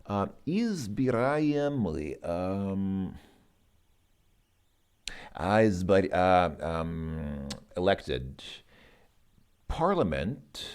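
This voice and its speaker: A man giving an informal language lesson, reading and translating aloud in a halting way as he thinks through each word. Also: low-pitched, darkish tone. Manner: halting, thinking aloud, sounding out words slowly. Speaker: host working through a Russian lesson as a learner.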